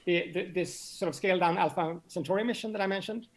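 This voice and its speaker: A man speaking as an expert interview guest, explaining in a halting way and often repeating words as he searches for phrasing. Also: sits high. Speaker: interview guest, astronomy professor.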